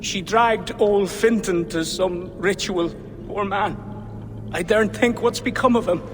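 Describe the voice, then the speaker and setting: A man's voice: high-pitched, measured pace. An actor playing a frightened male prisoner, who pleads in panic. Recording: game audio with long reverb and music.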